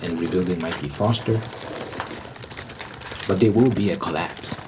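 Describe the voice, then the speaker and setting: A man with a very deep voice delivers an opinionated ASMR ramble. He talks haltingly and repeats phrases to stress them. Clear background noise with keyboard typing runs behind him. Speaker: ASMR host.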